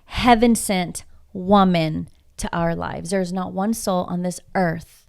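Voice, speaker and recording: A woman's voice: lowish voice, steady tone, slow pace, gravelly, darkish tone. A woman from Houston, Texas, whose first language is Spanish, talking as a podcast guest, emphatic and reflective and full of rhetorical questions. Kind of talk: casual podcast conversation.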